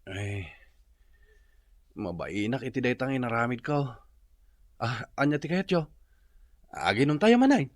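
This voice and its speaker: Animated, sheepish Ilocano uncle, played by a man. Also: medium pitch, a little slow, pauses often, dry recording, clean studio sound, natural voice. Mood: sheepish, apologetic. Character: sheepish uncle apologizing for drunken misdeeds. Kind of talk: Ilocano radio comedy drama acting.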